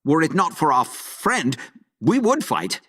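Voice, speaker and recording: An actor playing a timid, stuttering but loyal fighter in a video game. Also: male voice, fairly high pitch, sing-song, measured pace, sharp tone, distant mic, full character voice. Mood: nervous defiance. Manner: nervous stutter.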